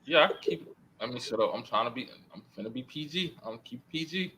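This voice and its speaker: Earnest rambling man, bright tone. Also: mid-range voice, lively intonation, fast, a little hiss or hum, poor audio. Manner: rambling, self-correcting, earnest. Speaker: podcast guest. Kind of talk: casual podcast chat.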